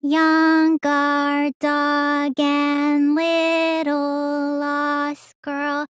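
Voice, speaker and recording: A woman's voice: high-pitched, no room sound, clean studio sound. A woman voicing a sluggish, stubborn, gullible teenage girl magician in an English video game dub, with a lazy, childish drawl that turns whiny. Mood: eerie chanting.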